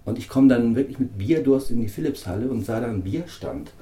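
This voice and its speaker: Dark-voiced interviewer in an echoey room. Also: male voice, mid-range voice, animated, quick pace. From northern Germany. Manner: chatty, tells long anecdotes. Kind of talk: casual interview chat. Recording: roomy echo.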